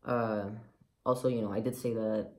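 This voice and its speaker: A man's voice: lowish voice, fairly even intonation, normal speed. A man of about twenty, raised in Mexico, with a light Mexican Spanish accent, talking candidly and rambling with many fillers in a casual personal vlog. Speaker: vlogger.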